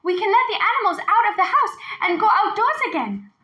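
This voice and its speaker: A woman voicing an eager, proud little village boy in a storybook, excited and earnest. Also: very high voice, brisk, roomy sound, voice pushed up, exaggerated voice. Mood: pleading.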